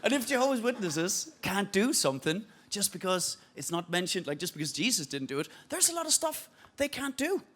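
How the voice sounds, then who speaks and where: High-voiced Danish man with an Irish lilt. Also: a little fast. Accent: Danish speaker with an Irish-sounding English accent. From Denmark. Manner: deadpan, self-mocking storytelling with acted-out voices. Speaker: stand-up comedian. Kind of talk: stand-up comedy set. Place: theatre stage with live audience.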